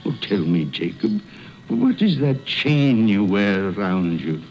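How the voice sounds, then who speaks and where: Blustering old miser, slow delivery, hugely swinging pitch, stammering, radio drama, muffled recording over clear music. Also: male voice, wildly swooping pitch, darkish tone, full character voice. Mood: pleading, meek. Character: cold-hearted miserly old businessman, skeptical and fearful. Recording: dull lo-fi recording with music behind.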